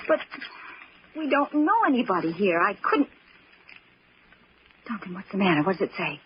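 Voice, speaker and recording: A woman's voice: mid-range voice, sing-song, normal speed, natural voice. Muffled old radio drama, anxious young woman played by an actress. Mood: worried, pleading. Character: anxious, protective young stepsister narrating a mystery. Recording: muffled, noisy vintage radio recording.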